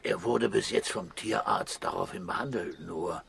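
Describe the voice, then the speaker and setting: Very husky, gruff farmer. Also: male voice, sits low, normal speed, fairly bright tone, heavily acted. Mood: doubtful. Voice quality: husky, gravelly. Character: gruff male farmer, sceptical and baffled. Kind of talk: children's radio play acting.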